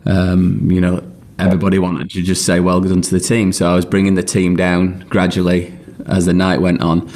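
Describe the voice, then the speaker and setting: Deep low male voice, chatty story, laughing aside. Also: monotone, normal speed, few pauses, darkish tone. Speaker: interviewee in a played clip. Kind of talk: recorded anecdote.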